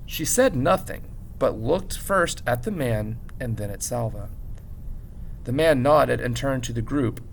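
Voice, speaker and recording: A man narrates a story in a creaky, mid-pitched voice, reading expressively like a teacher to a class. He takes his time, leaning on key phrases and leaving dramatic pauses. There is a faint hiss and rumble behind him. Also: lively intonation, poor audio.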